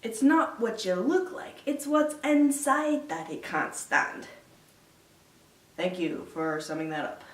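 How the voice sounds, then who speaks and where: A woman playing a sarcastic, awkward young Viking man in a dry, deliberate film-style recitation. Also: mid-range voice, lively intonation. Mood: dry, resigned. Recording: echoey room, distant mic.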